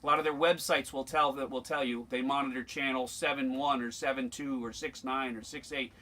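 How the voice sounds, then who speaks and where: A man's voice: mid-range voice, steady tone, a little fast, sharp tone. An American man giving an informal, plain-spoken instructional demonstration as a sailing instructor, emphatic and repeating his points.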